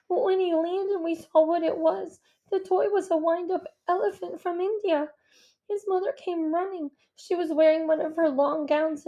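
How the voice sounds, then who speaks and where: A woman's voice: very high-pitched, mellow, lo-fi sound, pitched above the performer's own voice. A woman voicing a young boy who is shaking and crying as he recounts events. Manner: shaky, halting.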